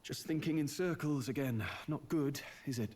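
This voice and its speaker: A man's voice: fairly high pitch, normal speed, lightly acted. A man playing an earnest, brooding young nobleman in a fantasy video game, speaking in a formal, articulate way. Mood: musing. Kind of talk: video game dialogue acting. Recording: loud music behind the voice.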